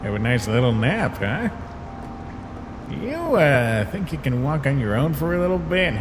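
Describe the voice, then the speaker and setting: A tired, protective skeleton monster acted by a man in a dramatic comic dub. He talks slowly and haltingly with a resigned air, his pitch moving freely, over clear background noise. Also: very animated. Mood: gentle, tired. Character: weary, guilt-ridden male skeleton monster, protective and gentle.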